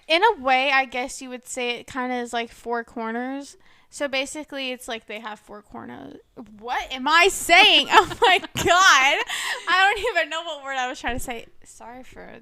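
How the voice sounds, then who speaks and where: A woman in her twenties co-hosting a podcast in casual chat, soft-spoken and rambling with lots of "like". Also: high voice, moderate pace, clear, resonant tone. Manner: soft-spoken, quieter than her co-host; rambling, heavy use of "like". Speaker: podcast co-host.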